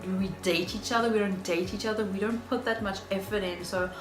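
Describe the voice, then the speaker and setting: A woman with a nasal, congested voice chatting casually on a couple's vlog, measured and careful to qualify what she says. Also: mid-range voice, steady tone. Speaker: couple vlogger. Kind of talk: casual chat. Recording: echoey room with background music.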